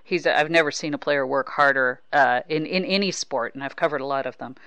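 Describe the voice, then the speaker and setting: Woman, American, sports podcast host. Smooth, clear delivery, conversational, very dry sound. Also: a bass voice, brisk, fairly bright tone, no echo. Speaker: podcast host and baseball reporter. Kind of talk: casual sports interview chat.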